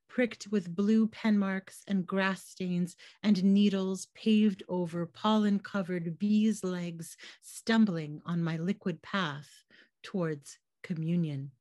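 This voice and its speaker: Canadian woman poet, slightly hoarse, low-pitched, reading poems over a video call; dry sound. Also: takes it slowly, darkish tone, no room sound. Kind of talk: poetry reading. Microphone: computer mic on a video call.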